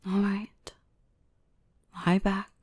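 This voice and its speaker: A woman playing a gentle, protective dark elf mistress in a soothing, reassuring ASMR roleplay, often whispering. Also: medium pitch, monotone, extremely slow, husky, no echo, clean studio sound. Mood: tender, reassuring.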